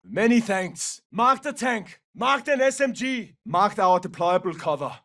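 A fierce, high-pitched Norse soldier. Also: male voice, expressive, unhurried, a bit bright, distant mic, full character voice. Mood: terse, businesslike. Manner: terse, commanding, urgent. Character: gruff Norse mercenary soldier, fierce, battle-hungry hunter. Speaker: video game operator calling out battlefield information. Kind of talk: military shooter game voice lines, short tactical barks.

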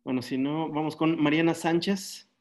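A man hosting an online session from a small home room over a webcam, briskly calling on participants and thanking each one. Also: fairly high pitch, fairly even intonation, darkish tone. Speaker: session host. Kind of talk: video call roll call. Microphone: laptop webcam on video call.

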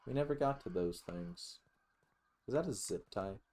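A man's voice: mid-range voice, lively intonation, moderate pace, darkish tone. A man giving casual let's play commentary as a gaming host, musing aloud in a rambling way and swearing freely.

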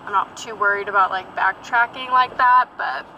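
A young woman talks from behind the wheel, chatting loosely about her day with plenty of fillers. She is recorded on a dashboard camera inside the car, with steady road and engine noise underneath her voice. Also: medium pitch, moderate pace, dull audio. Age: young adult. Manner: chatty and rambling, many fillers and asides. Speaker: vlogger. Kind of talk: casual vlog chat while driving. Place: inside a parked and moving car.

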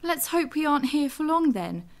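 A woman's voice: high-pitched, sing-song, normal speed. A young woman acting an anxious, determined daughter in a science fiction audio drama. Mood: worried, irritated.

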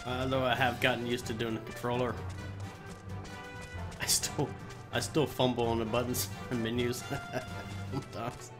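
A man's voice: mid-range voice, level tone, normal speed, pauses often. A man with a cold giving casual let's play commentary, muttering asides as he streams a video game. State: has a cold. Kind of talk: casual let's play commentary, reading quest text aloud. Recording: game music behind the voice. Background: video game music.

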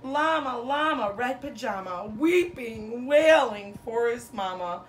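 A woman in her thirties, a teacher reading a children's storybook aloud to pupils with expressive, sing-song phrasing and emphasized words. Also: on the high side, takes it slowly, a little hiss or hum. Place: bedroom, indoors. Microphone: camera a couple of metres away.

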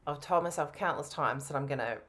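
Female coaching client, 30s, deep register, casual, unsure of herself, candid on a video call. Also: brisk, a bit bright. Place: home room, on a video call.

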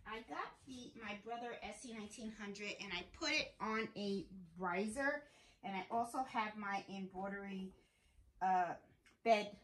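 A woman's voice: medium pitch, a bit bright. Middle-aged woman, casual how-to host, talks her way through each step, recorded in a roomy room. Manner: chatty, thinking aloud while working. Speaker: sewing channel host. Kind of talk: casual embroidery tutorial. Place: home sewing room. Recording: roomy home sewing room.

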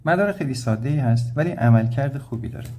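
A man's voice: medium pitch, lively intonation, mellow. A man from Iran explaining step by step as an electronics instructor, in a plain, methodical way. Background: background music.

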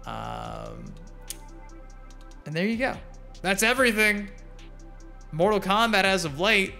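Bright-voiced man doing casual gaming commentary. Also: a little high. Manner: rambling, informal, addressing viewers. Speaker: gaming channel host. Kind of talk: casual gameplay trailer commentary. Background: music.

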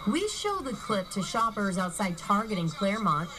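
A woman's voice: mid-range voice, very animated, moderate pace, noisy background. An American woman working as a television news reporter, delivering a scripted report.

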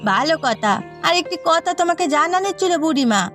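A woman's voice: extremely high pitch, expressive, moderate pace, fairly bright tone, heavily acted. A woman voicing a nosy, trouble-stirring village gossip in a cartoon drama, insinuating and needling, with music behind.

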